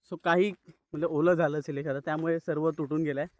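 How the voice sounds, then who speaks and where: A man's voice: a little high, fairly even intonation, fairly quick, dry recording. A young man talking casually to viewers as a vlogger, switching between languages and repeating himself. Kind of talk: casual vlog chat to viewers. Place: mostly outdoors in windy countryside.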